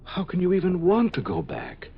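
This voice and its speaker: A man's voice: high voice, huge pitch swings, normal speed, husky, full character voice. A man acting an earnest, lovestruck scientist of a future century in 1950s radio drama style, ardent and persuasive. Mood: pleading wonder. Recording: dull 1950s radio recording.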